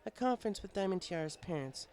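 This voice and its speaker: A man's voice: high voice, animated, fast talker, darkish tone, a little hiss or hum, pitched above the performer's own voice. A man voicing a weary, complaining female pony schoolteacher in a storybook reading.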